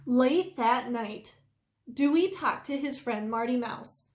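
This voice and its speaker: A woman reading a children's story aloud expressively, with deliberate, drawn-out emphasis. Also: fairly high pitch. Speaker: storytime reader. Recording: roomy indoor echo.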